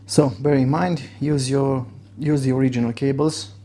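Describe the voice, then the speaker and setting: Mellow, gravelly Romanian-accented man. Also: medium pitch, fairly even intonation. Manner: halting, searching for words. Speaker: motorcycle gear reviewer. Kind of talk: casual unboxing and demo talk.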